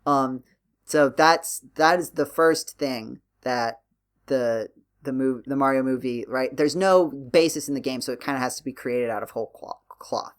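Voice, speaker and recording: A man's voice: mid-range voice, moderate pace. A man hosting a podcast, talking through his points casually in a rambling, analytical way. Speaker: podcast host.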